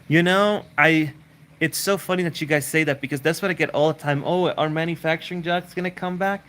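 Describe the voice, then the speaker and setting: A man's voice: on the high side, fairly even intonation, brisk, a bit bright. A man who grew up in Switzerland, hosting a casual live Q&A, rambling and repeating words as he answers viewer questions.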